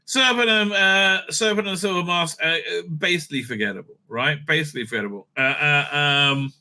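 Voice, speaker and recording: A man's voice: fairly high pitch, a little fast, bright, forceful tone. A man giving rambling, opinionated commentary as a video host, blunt and dismissive, often repeating himself and swearing. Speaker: YouTube host and reviewer.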